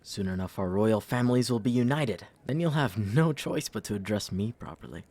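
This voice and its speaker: A man's voice: fairly low pitch, expressive, moderate pace, a little mellow. A man playing a pompous, entitled young prince in a fantasy audio drama, haughty and condescending. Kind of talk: fantasy audio roleplay acting.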